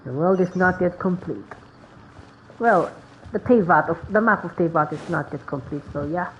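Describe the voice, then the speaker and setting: A woman's voice: lowish voice, animated, moderate pace. A woman streaming a game with casual commentary, sometimes reading the dialogue aloud. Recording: thin phone-like sound, background noise.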